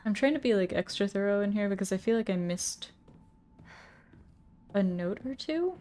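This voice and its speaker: A woman's voice: medium pitch, lively intonation, moderate pace, dark tone. A woman giving casual, chatty commentary while playing a horror game, reading notes aloud under her breath and startling easily. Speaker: let's play gamer.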